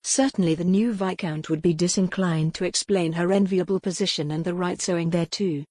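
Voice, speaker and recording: A woman narrating an audiobook in a calm, even storytelling manner. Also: fairly low pitch, a little fast, no echo. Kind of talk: scripted narration.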